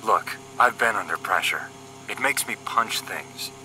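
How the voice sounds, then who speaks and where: A man acts out a wisecracking young male agent in an audio drama. His voice sits fairly low but has a very sharp, forceful edge, and he banters flippantly over a faint hum. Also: expressive, unhurried, heavily acted, rough recording. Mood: grumbling. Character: wisecracking, cocky young male paramilitary agent, teasing optimist. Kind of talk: scripted audio drama dialogue.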